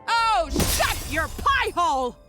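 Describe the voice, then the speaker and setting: Haughty, unhinged villainess, high and drawn-out. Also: female voice, sing-song, full character voice. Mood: bitter rage. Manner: theatrical, drawn-out, venomous delivery. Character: haughty, spiteful treasure-hunting woman villain, obsessive and unhinged. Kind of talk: scripted video game voice acting. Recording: loud music under the voice.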